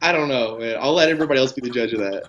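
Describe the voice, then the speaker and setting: A man being interviewed as a guest, chatting casually and relaxed with frequent "you know" fillers. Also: medium pitch, very fast, dull audio. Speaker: guest pro bodybuilder.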